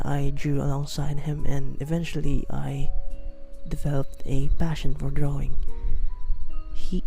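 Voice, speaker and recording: Slow, gravelly Filipino-accented teen boy. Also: fairly high pitch, dark tone. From Philippines. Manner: awkward, apologetic, self-mocking, many fillers. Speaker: beginner art vlogger. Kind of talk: rambling self-conscious voice-over while drawing. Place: house.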